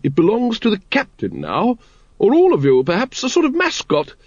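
A man's voice: high voice, huge pitch swings, normal speed, breathy edge, no echo, muffled recording, voice pushed up, heavily acted. A man voicing a hearty, gushing middle-aged aristocratic lady with plummy upper-class manners for an audiobook. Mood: gracious, breezy.

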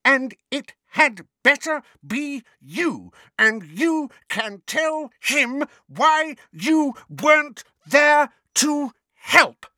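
A man acts out a fretful, fierce old lady from a storybook. The voice is very high and shrill, swooping wildly as she fusses and scolds. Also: sing-song, moderate pace, fairly bright tone, no room sound, studio quality, full character voice. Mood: shrieking, furious. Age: older. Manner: shrill, exclamatory, fussing. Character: flustered, scolding, indignant elderly woman, fretful and fierce.

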